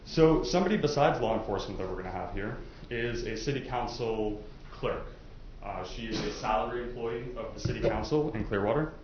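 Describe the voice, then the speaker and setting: A man's voice: fairly low pitch, lively intonation, brisk, darkish tone. A man questioning jurors as a lawyer in a courtroom, speaking politely and conversationally with some hesitant phrasing. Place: indoor courtroom. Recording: echoey, dull courtroom audio, faint noise.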